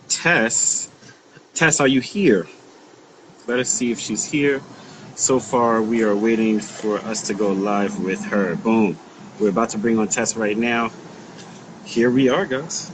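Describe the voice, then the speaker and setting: A relaxed man hosts a casual online session and talks in an easy, repetitive way, often laughing. His voice sits in a middle register. Steady hiss and room noise run under the video call audio. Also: mid-range voice, rough recording. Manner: laid-back, repeats words, laughs often. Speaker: host. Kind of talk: casual livestream chat.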